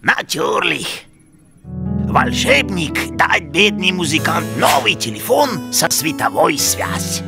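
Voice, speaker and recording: Eccentric male inventor, German accent, gravelly, lively, theatrical, cartoon, loud music behind. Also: medium pitch, slow pace, full character voice. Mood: excited, storytelling. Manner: theatrical, broken grammar. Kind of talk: animated cartoon storytelling.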